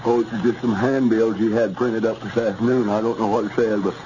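A man's voice: on the low side, fairly even intonation, warm tone, lightly acted. An actor playing a simple-minded, hesitant young Southern country man in 1930s radio comedy. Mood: sheepish. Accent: rural Southern US. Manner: slow-witted, hesitant, naive. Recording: muffled, noisy 1930s radio recording.

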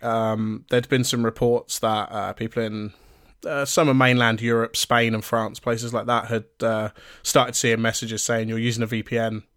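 A man co-hosting a podcast, relaxed and chatty and full of ums, his voice going a little hoarse. His middle-pitched voice is recorded close on a dry, dead mic. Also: no room sound. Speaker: podcast co-host. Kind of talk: casual podcast chat.

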